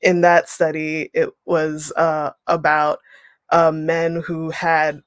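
A young woman leading an online writing workshop, reading a passage aloud and then explaining it with relaxed pauses over a video call. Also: deep voice, dry recording. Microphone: webcam on a video call.

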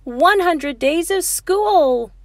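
Woman voicing an excitable high-pitched young monkey. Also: no echo, clean studio sound, full character voice. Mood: exuberant. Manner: exuberant, drawn-out shouting.

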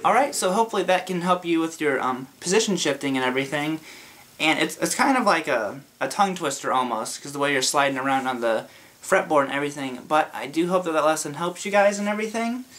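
A man with a sore throat teaching a casual guitar lesson, explaining slowly and step by step in a laid-back way. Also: a little high, fairly bright tone. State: sore throat from being sick. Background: his own guitar playing.

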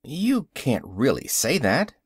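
A skeptical, curious man presses with probing questions, as a male audiobook narrator reads him. The pitch moves in a lively way. The sound is dry and close. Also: slow pace, slightly rough, no room sound, exaggerated voice. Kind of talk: audiobook character reading.

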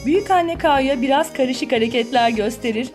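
Female narrator, high-pitched, scripted children's storytelling over soft music. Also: moderate pace.

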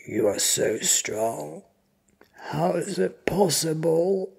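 A frightened, hurt henchman as a narrator acts him out, stammering in halting bursts. The voice is very husky and breathy, pushed higher and louder than the narration in a dramatic audio story. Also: very animated, heavily acted. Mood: stunned fear. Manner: stuttering, halting, strained. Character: frightened, injured henchman named Slim, stammering and gasping.

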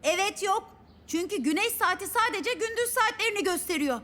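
A woman's voice: extremely high pitch, expressive, fairly quick, bright, forceful tone, exaggerated voice. A woman voicing a clever, studious child in a children's cartoon, earnestly explaining things. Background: cartoon background music.

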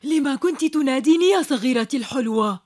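A woman voicing a kindly old grandmother witch in a dubbed cartoon, gentle with a cackling laugh. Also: high voice, lively intonation, quick pace, noisy background. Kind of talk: Arabic cartoon dubbing. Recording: studio dub over loud music.

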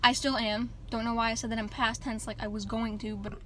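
A teenage girl chats through her vlog in a jokey way, leaning on "like" and "literally." Her voice sits on the high side and sounds bright, with a slight rasp from little sleep. It is recorded on a phone at arm's length, with a faint hiss in the background. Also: flat delivery, brisk. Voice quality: raspy from lack of sleep. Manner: chatty, jokey, lots of "like" and "literally". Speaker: vlogger. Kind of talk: casual vlog chat. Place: parked car on a rainy day. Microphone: phone held at arm's length.

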